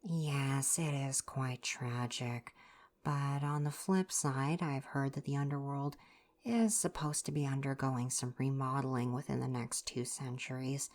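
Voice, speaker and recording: An eccentric, absent-minded healer god of ancient Greece, acted by a woman in an ASMR roleplay. The voice is very deep. The talk is politely formal, then wanders off into chatty, casual musing. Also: fairly even intonation, measured pace, a little mellow. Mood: chatty, upbeat. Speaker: doctor.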